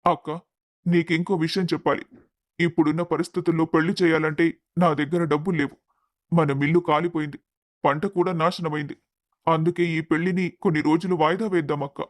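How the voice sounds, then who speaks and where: Guy acting heartbroken earnest dad in a family story drama, slightly high male voice. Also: little pitch movement, a little fast, lightly acted. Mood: defeated, apologetic. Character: grief-stricken, earnest middle-aged father.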